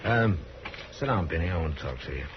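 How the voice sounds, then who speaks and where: An actor playing a calm, grave frontier lawman who questions in terse, measured phrases, in 1950s radio western style. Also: low-pitched. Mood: gentle, probing. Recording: muffled, noisy vintage radio recording.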